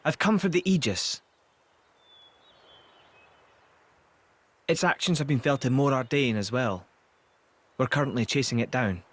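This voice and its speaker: A man's voice: medium pitch, natural voice. A man acting a dignified, earnest young emperor. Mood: grave. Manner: formal, measured, polite. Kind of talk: video game cutscene acting.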